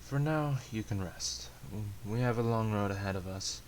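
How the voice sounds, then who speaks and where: A man performs a practical, composed male companion from an amateur story reading. He advises in a measured, matter-of-fact way, encouraging without fuss. Faint hiss sits under the voice. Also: lowish voice, lightly acted. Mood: calm, advising. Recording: hissy amateur home recording.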